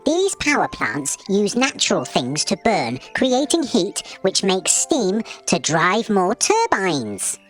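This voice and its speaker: A man voicing an excitable little magical bug in a children's storybook, chirpy and full of explanations. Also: sits high, takes it slowly, hardly any pauses, faint music behind, pitched above the performer's own voice, heavily acted. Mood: chirpy, excited.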